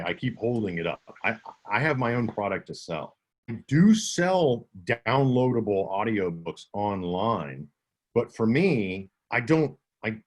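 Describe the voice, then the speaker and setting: A man with a slight Southern accent, an audiobook narrator answering questions in a conference Q&A over a video call, talking casually and often restarting his sentences. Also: on the low side. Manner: conversational, with many restarts and self-corrections.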